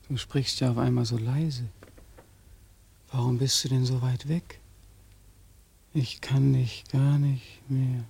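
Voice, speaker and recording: An actor in a German radio play, playing a bitter, exhausted young war veteran who throws out insistent, accusing questions. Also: male voice, medium pitch, creaky, a little mellow, slightly rough, exaggerated voice. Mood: drowsy, fading. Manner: insistent repetition, accusing questions, swinging from outcry to whisper.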